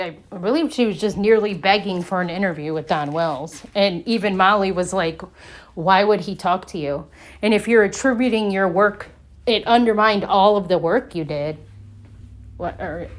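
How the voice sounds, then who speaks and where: A woman giving casual reaction commentary in a skeptical, halting way. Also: medium pitch. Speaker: commentary channel creator.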